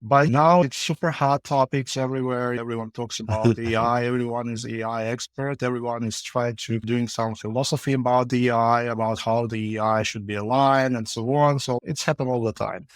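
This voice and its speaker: A man, a company founder answering interview questions haltingly with frequent fillers. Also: mid-range voice, fairly even intonation, no echo. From Ukraine. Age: 40s. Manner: halting, many uh fillers, non-native phrasing. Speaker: podcast guest, founder.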